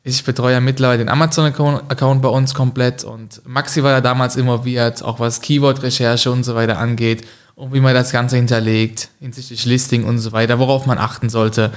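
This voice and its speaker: A young man is a guest on a casual podcast. His voice is middle-pitched, and he talks in a relaxed way, often hesitating before he goes on. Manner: relaxed, many "äh" hesitations, quick-witted.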